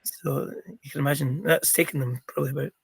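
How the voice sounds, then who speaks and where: A middle-aged man with a croaky, gravelly voice, chatting as an interview guest and rambling with many ums and restarts. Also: medium pitch, steady tone, fast, dry recording, rough recording. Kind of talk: casual interview chat.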